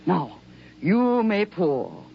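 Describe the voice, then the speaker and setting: An actress playing a genteel, controlling middle-aged mother, measured, formal and theatrical in the manner of 1950s radio drama. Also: on the low side, big pitch swings, gravelly, heavily acted. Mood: brightly gracious. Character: genteel, controlling mother of a grown soldier son. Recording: dull, noisy 1950s radio recording.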